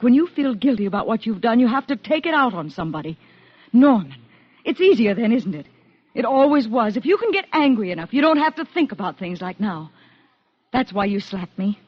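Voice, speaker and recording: A woman's voice: fairly high pitch, big pitch swings, normal speed, slightly rough. An actress playing a bitter, defiant woman in a radio drama, speaking in a pointed, goading way. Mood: accusing, cutting. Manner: pointed, needling, probing. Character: bitter, goading, defiant sister of a condemned man. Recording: dull band-limited radio drama recording.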